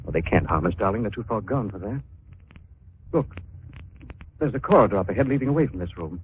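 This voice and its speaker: Actor for protective gentleman sleuth in old radio thriller; lowish voice, clipped urgency, muffled vintage sound with faint noise. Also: expressive, fairly quick, natural voice. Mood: grim. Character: protective, level-headed gentleman crime fighter in danger. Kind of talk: 1940s radio drama acting. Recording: dull, noisy 1940s radio recording.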